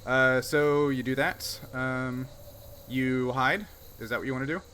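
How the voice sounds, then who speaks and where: A man acts as game master in a casual tabletop session. His voice is mid-pitched and bright with a forceful edge. A faint noise sits behind him. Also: steady tone, measured pace. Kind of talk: casual tabletop game narration and rules explanation.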